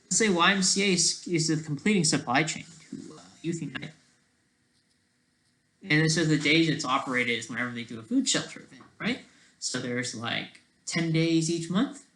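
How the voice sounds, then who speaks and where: A man talking casually on a livestream, rambling and halting, often trailing off mid-thought, with a sardonic, self-deprecating streak. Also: fairly high pitch, lively intonation, sharp tone, rough recording.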